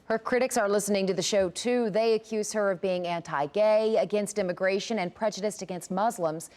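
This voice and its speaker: A woman's voice: mid-range voice, a little fast, a little mellow, soft background music. An American woman news anchor presenting a scripted introduction on television.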